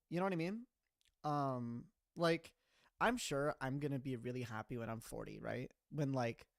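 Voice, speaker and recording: A man's voice: mid-range voice, dry recording, clean studio sound. A man in his mid-twenties, a streamer chatting casually and rambling with frequent laughs while reading his chat. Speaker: streamer and animator.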